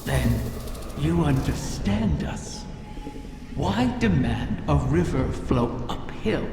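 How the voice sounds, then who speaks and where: An actor voicing a sly, ancient wish-dragon in a video game, speaking deliberately and cryptically with a menacing grandeur. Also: mid-range voice, gravelly, full character voice. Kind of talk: scripted game dialogue. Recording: long echoing reverb under music.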